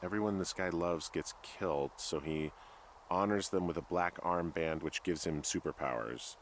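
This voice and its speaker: A deep-voiced, easygoing male fire lookout as a man acts him in a naturalistic game drama. He talks casually, with dry, self-mocking wit. There is faint ambient noise behind the voice. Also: normal speed, lightly acted. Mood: reflective, wry. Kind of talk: naturalistic video game dialogue.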